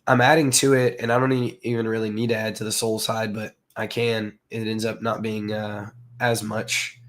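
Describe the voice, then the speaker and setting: Hoarse man hosting a livestream. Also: fairly low pitch, little pitch movement, moderate pace, fairly bright tone, slight background noise. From southern United States. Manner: rambling, filler-heavy, greets chat viewers. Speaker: crypto livestream host. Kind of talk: casual livestream commentary.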